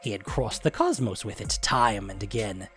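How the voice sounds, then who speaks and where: A gleefully wicked devil storyteller, played by a man, recounts a fantasy saga over music. The delivery swoops widely, sly and grandiose, lingering on choice words with theatrical pauses. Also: lowish voice, expressive, a bit bright, voice pushed down. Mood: sly, mock-sympathetic.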